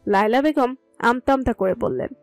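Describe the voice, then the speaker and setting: Woman, high-pitched, scripted romantic narration, dry recording, soft music behind. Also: normal speed, no echo. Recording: music under the voice.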